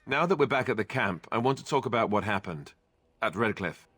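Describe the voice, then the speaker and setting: A man's voice: lowish voice, lively intonation, brisk, fairly bright tone, breathy edge. A man playing an earnest, grateful young warrior companion in a fantasy video game, sincere and a little hesitant. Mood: sincere, subdued. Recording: music behind.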